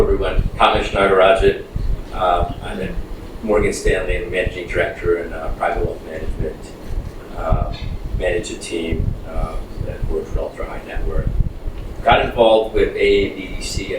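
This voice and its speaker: A man's voice: low-pitched, steady tone, normal speed. A middle-aged man introducing himself informally as a panelist at an event. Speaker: panelist, wealth management director. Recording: echoey noisy room, distant mic, hum.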